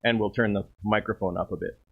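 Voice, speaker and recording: A man with a booming voice calls a baseball game play by play and lifts his pitch on each play. The stream audio is dull and lo-fi. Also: fairly even intonation, quick pace, vocal fry. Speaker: play-by-play commentator. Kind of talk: baseball commentary.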